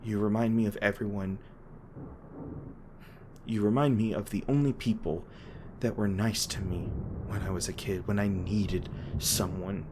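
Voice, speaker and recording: A man playing a gruff, guilt-ridden monster hunter with a tender side, speaking haltingly and emotionally in an intimate audio roleplay. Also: lowish voice, fairly even intonation, a little mellow, close to an everyday voice. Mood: remorseful. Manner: halting, stammering, emotional, swearing. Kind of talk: intimate dramatic audio roleplay addressed to listener. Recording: noticeable background noise and ambience.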